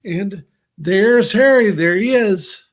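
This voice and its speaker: A man with a noticeably high voice hosts a podcast from a video call. He talks in a chatty, easygoing way and keeps the guest going with quick affirmations. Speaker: podcast host. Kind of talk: casual interview chat.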